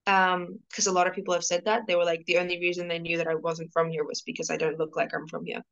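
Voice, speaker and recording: A woman's voice: on the low side, flat delivery, quick pace, gravelly, fairly bright tone, highs cut off. A young woman from South Africa, a guest on a video call, talking casually and at length with many fillers and restarts.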